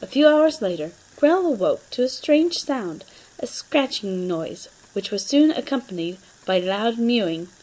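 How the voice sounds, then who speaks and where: Higher-voiced woman reading a story dramatically. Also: lively intonation, a little slow, a little mellow, poor audio. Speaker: narrator.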